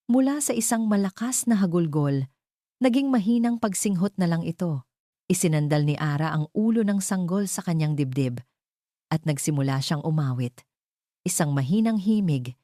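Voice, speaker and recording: A woman's voice: low voice, warm tone, no room sound, studio quality. A woman with a Filipino accent narrating a scripted dramatic story as a storyteller.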